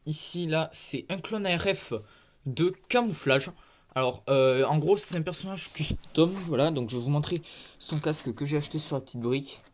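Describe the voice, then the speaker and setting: A man with a fairly high voice walks through his collection in a casual, halting way, stopping on filler words as he points out each piece. He sounds stuffy from a head cold, recorded on a handheld phone in a converted attic. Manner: halting, filler-heavy, points things out one by one. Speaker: hobbyist presenting his toy collection. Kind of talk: casual show-and-tell narration. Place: converted attic room with creaky floor. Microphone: phone camera held in hand.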